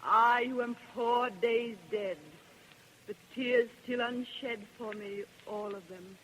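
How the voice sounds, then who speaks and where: An actress playing a newly dead woman risen to prophesy, sorrowful and solemn, in halting radio verse. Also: on the high side, steady tone. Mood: mournful, solemn. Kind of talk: 1930s radio verse drama acting. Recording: bright, noisy old radio recording.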